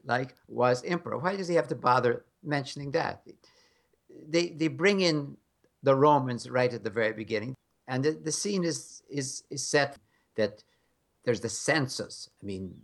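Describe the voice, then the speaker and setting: An American man talking as a guest in an interview, frank and emphatic with a wry edge. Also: mid-range voice. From United States. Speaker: guest scholar. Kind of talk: conversational interview.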